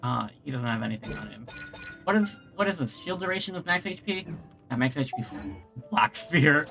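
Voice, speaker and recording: Streamer thinking aloud over loud game music. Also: medium pitch, expressive, fairly quick. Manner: thinking aloud, muttering to self. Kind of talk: casual live gameplay commentary. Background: video game music.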